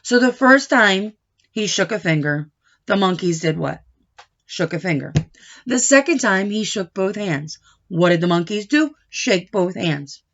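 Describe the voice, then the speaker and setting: A woman reading a picture book aloud to children, expressive and coaxing, pausing to ask them questions, on a dull home recording. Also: medium pitch. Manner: expressive, coaxing, pauses to question and prompt young listeners. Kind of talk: animated children's read-aloud with questions to the listeners.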